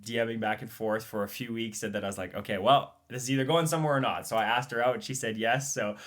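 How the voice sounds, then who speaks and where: Man hosting a conversational podcast, mid-pitched voice. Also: fast, a bit bright. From Romania. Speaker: podcast host.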